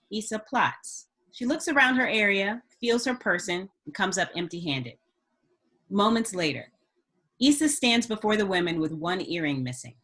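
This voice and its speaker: A woman's voice: medium pitch, fairly bright tone. A woman reading stage directions as scripted narration for a table read.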